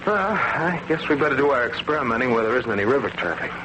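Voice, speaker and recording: A man's voice: mid-range voice, lively intonation, quick pace, lightly acted. An actor playing a wry, skeptical male lab assistant who jokes with his colleague in a 1940s radio drama. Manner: wry, incredulous. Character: adult male lab partner, skeptical and joking. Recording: old radio recording with loud hiss.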